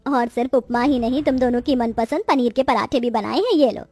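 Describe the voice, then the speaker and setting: A devoted young aunt in an animated story, voiced by a woman. Her high voice is kind but firm. The recording is dry and close. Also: brisk, no room sound, faint music behind. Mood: caring. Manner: caring, firm.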